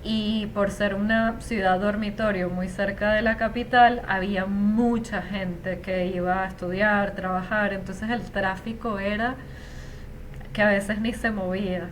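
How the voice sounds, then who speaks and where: Venezuelan woman, 30s, interview guest, chatty and reflective, mid pitch, slight background noise. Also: steady tone, unhurried. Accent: Venezuelan Spanish. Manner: chatty, reflective, frequent fillers. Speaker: interview guest, Spanish teacher. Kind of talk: casual conversational interview.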